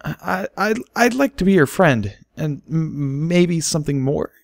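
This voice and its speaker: A man's voice: a little high, expressive, normal speed, dry recording, studio quality, voice pushed up. A man voicing a nervous, earnest male pony, an awkward suitor who speaks hesitantly, in a storybook reading. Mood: anxious, hopeful. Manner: hesitant, stammering.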